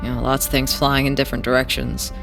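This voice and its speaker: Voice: mid-range voice, flat delivery, quick pace, vocal fry, close to an everyday voice. An actor playing a guarded, defiant young smuggler gang leader in an audio drama, speaking in a wary, terse, casual way. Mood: weary recounting. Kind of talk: audio drama acting and first-person narration. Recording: loud music behind the voice. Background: loud music.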